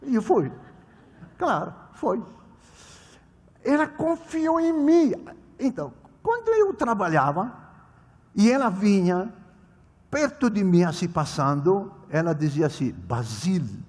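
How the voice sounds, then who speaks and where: An older male pastor, not a native Portuguese speaker, preaching a sermon with a personal story, swinging between loud proclamation and quiet storytelling. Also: high-pitched, very animated, slow, pauses often, breathy edge. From immigrant to Brazil. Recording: reverberant hall.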